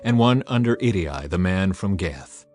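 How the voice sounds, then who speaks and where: A man reading scripture as a dramatized audiobook narration, measured and emphatic in his storytelling. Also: sits low, lively intonation, darkish tone. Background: music under the voice.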